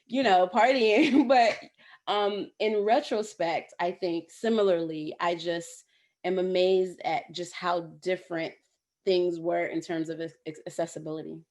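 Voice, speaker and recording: Lower-pitched, animated woman on a panel, laughing easily between points. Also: a little slow. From southern United States. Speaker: alumni panelist. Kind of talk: candid panel answer. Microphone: video call.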